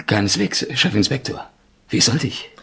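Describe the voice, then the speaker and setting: An actor playing a deferential yet self-important police sergeant who writes crime novels, husky-voiced and formal, in a 1970s radio play. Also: male voice, fairly low pitch, normal speed, fairly bright tone. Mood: proud, deferential.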